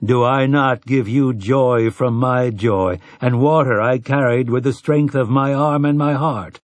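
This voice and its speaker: A man voicing a weary, courtly older gunslinger who speaks in old-fashioned formal phrases, with a husky voice. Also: fairly high pitch, a little slow, dry recording, voice pushed up, natural voice. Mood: gentle.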